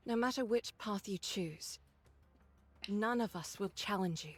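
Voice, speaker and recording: Game role, actress as resolute woman soldier; medium register, dry candour, steady loyal temper. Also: medium pitch, measured pace, lightly acted. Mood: supportive. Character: resolute, loyal woman squad fighter, wryly candid. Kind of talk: scripted game voice acting.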